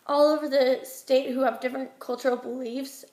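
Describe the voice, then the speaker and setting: A young American woman from Utah giving chatty, halting answers as a job applicant in an interview, while getting over a head cold. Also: sits high, level tone, a little mellow. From Utah, United States. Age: young adult. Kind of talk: informal phone interview answers. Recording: roomy echo.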